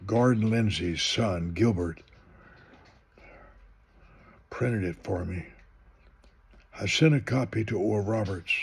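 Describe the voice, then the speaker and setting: A man's voice: lowish voice, a little slow, vocal fry, slightly rough. A man in his seventies, a minister, announcing an upcoming live broadcast in a rambling, conversational way. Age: 70s. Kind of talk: promo announcement for a live stream.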